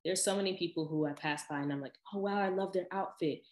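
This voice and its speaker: A young woman in her twenties with a strikingly deep, low voice tells personal stories in a casual vlog. She talks in a chatty, expressive way and laughs easily between asides. The sound has a noticeable echo, as if recorded in a bare room. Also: quick pace. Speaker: vlogger. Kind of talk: casual personal storytelling to camera.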